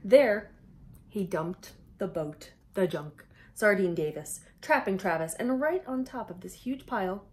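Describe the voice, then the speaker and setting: A woman in her thirties reading a picture book aloud to children with expressive, exclamatory delivery and dramatic emphasis. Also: mid-range voice, a little slow. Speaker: children's storyteller.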